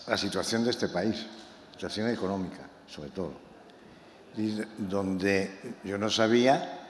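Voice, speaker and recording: Man, novelist from Málaga, fiery, halting, repeats himself, lowish voice, roomy hall sound, faint background noise. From Málaga, Spain. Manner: impassioned, halting, repeats words. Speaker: novelist presenting his book. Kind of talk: public book presentation talk.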